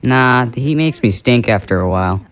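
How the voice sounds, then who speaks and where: An actor playing a lazy, sarcastic teenage brother glued to the TV in an audio drama. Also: male voice, mid-range voice, lively intonation, normal speed, fairly bright tone, close to an everyday voice. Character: lazy couch-potato teenage brother Nick.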